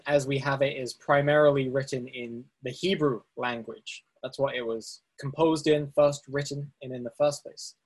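A man's voice: mid-range voice, rough recording. A man teaching a Bible study session, explaining step by step with fillers and restarts, speaking to slides on a screen. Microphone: presentation recording with on-screen slides.

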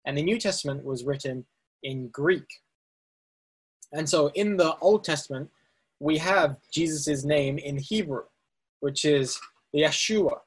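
A man's voice: a little high, a little slow, pauses often, breathy edge, poor audio. A man teaching a Bible study session, explaining step by step with fillers and restarts, speaking to slides on a screen. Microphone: presentation recording with on-screen slides.

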